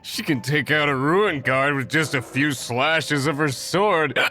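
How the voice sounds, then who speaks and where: A man's voice: on the high side, slow, bright, forceful tone. A man voicing a merry, tipsy tavern patron in a video game, jolly and rambling.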